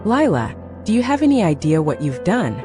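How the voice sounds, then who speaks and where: A harsh, unforgiving mother, acted by a woman, scolds in a roleplay drama. Her pitch swings widely as she accuses and cuts. Faint music plays beneath her. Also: very animated, fairly quick. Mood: outraged. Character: furious, harsh, unforgiving mother of a teenage girl. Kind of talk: dramatic roleplay story acting.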